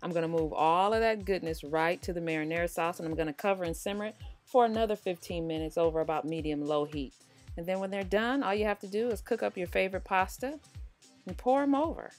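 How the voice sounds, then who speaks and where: A woman's voice: lowish voice, quick pace. A woman hosting a cooking tutorial, narrating casually in a chatty, informal, encouraging way. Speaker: cooking show host. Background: background music.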